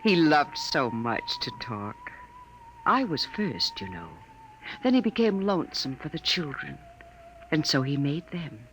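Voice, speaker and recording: An actress playing an ageless android wife in a 1950s radio drama, soft-spoken, wistful and grieving with quiet dignity. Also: female voice, sits low, big pitch swings, measured pace, a little hiss or hum, full character voice. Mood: tender, wistful. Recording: vintage 1950s radio broadcast recording.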